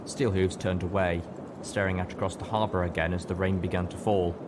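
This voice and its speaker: A man narrates a first-person story in a low-pitched voice. The recording carries clear background noise under his scripted reading. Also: steady tone, fairly quick. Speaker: audiobook reader.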